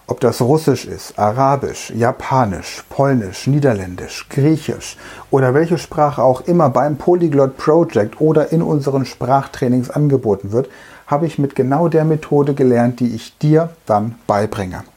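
Man lecturing with a husky, mellow voice. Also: medium pitch, moderate pace. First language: German. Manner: direct, uses everyday examples, speaks to the listener as du. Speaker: language trainer. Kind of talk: explanatory lecture monologue.

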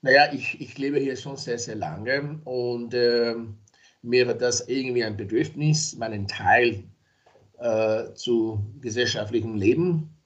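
A man's voice: medium pitch, muffled recording. A middle-aged man from Nigeria, a podcast guest talking casually and hesitantly, with many fillers and restarts. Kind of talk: casual interview talk.

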